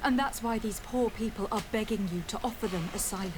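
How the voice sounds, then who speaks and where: A composed young noblewoman from a fantasy game, voiced by an actress, speaks with formal courtesy. Her medium-pitched voice is diplomatic and measured, set against clearly audible background noise. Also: natural voice. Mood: courteous, earnest. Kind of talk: scripted video game dialogue.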